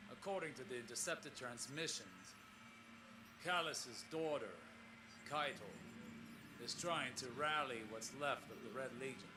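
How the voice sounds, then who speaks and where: A man's voice: mid-range voice, close to an everyday voice. Man playing a grave, weary sci-fi explorer, noisy log. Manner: measured, grave, formal, with brooding pauses. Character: weary, scholarly male sci-fi explorer, solemn and troubled. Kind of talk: scripted audio log in a science fiction game.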